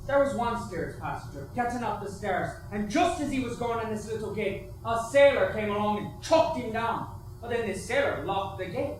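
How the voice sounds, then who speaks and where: A man's voice: high voice, animated, normal speed. A man on stage playing a young steerage survivor, giving an earnest monologue. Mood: earnest, agitated. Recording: very echoey distant stage, faint noise.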